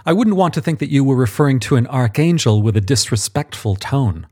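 A man's voice: mid-range voice, lively intonation, brisk, a little mellow, voice pushed up, lightly acted. A man reading an audiobook, voicing an impatient, condescending male archangel who orders his underling about. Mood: imperious. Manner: condescending, impatient, self-important. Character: pompous, imperious male archangel boss. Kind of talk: audiobook character voice.

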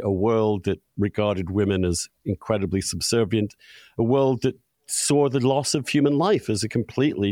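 A man's voice: on the low side, a little mellow, dry recording. Emphatic male interviewer, dry close sound. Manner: emphatic, builds points through repetition. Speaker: podcast host, interviewer. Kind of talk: discursive interview conversation.

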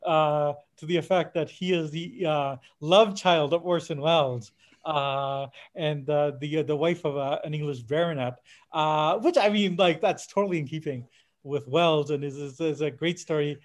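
A man's voice: fairly high pitch, normal speed. A man casually retelling anecdotes in a hesitant, fragmented way, often searching for his words. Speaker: podcast guest or co-host discussing a film.

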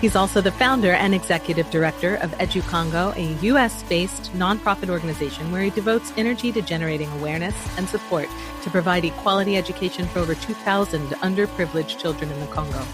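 A woman hosts a podcast in a slightly lower voice, warmly affirming and gently curious, with a soft "um" before her questions. Music is clearly heard behind her. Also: quick pace, few pauses, low-quality recording. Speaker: podcast host. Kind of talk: scripted intro, then interview questions.

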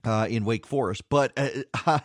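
A man's voice: mid-range voice, measured pace, dry recording. Man, radio host, personal faith talk, self-deprecating, stumbles and repeats, dead-dry studio sound. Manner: self-deprecating, repeats words while speaking.

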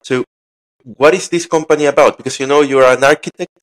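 Guy hosting a laid-back interview, medium pitch, repeats himself a bit. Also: a little fast. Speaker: interview host. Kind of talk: casual conversational interview.

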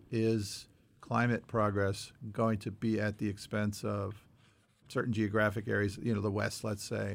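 A man co-hosting a podcast, talking through news points in a measured, explanatory way with frequent qualifiers and a gravelly edge. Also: on the low side. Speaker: podcast co-host. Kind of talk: conversational news discussion working through numbered points.

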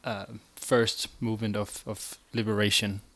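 Flat-toned man co-hosting, dry sound. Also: fairly low pitch, unhurried, no room sound. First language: Danish. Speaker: podcast co-host. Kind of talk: casual interview discussion.

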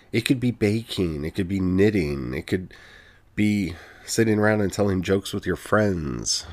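A podcast host with a very deep voice, speaking earnestly and from the heart in a reflective solo monologue, often pausing on "you know". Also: darkish tone, no room sound.

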